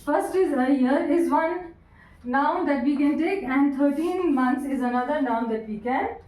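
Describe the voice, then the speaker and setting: A woman teaching English grammar, repeating each sentence slowly word by word and asking her students questions. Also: high-pitched, darkish tone. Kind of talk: classroom grammar lesson. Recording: echoey room, voice from a distance.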